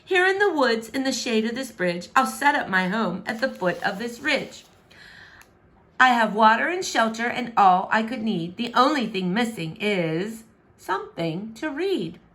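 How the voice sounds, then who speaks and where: A woman's voice: a little high, very animated, measured pace, a bit bright. A woman voicing a stubborn, earnest young princess in a children's verse storybook, with theatrical, exclamatory delivery. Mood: defiant.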